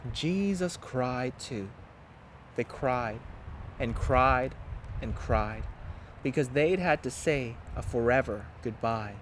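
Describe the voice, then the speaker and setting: A man, a pastor, reading a children's storybook aloud with animated, expressive storytelling. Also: medium pitch, slight background noise.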